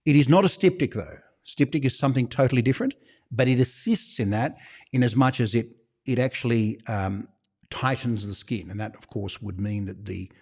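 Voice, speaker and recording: Aussie bloke on a live stream q&a, chatty and rambly, keeps repeating himself, middle-pitched voice. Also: male voice, normal speed, darkish tone, slightly rough. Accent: Australian. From Australia. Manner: demonstrates products on camera. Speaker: shaving shop host. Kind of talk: live-stream Q&A answering viewer questions.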